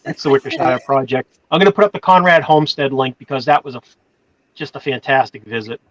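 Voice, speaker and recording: A man's voice: mid-range voice, fast talker, rough recording. A man with a Boston accent chatting on a livestream, rambling with fillers and restarts as he moderates. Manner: rambling, full of fillers and self-corrections. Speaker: channel moderator. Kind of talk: casual chat on a livestream.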